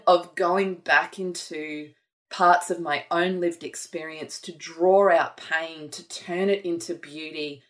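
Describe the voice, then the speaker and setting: A woman author talking thoughtfully and earnestly about her writing in a conversation. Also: lowish voice. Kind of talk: reflective conversation.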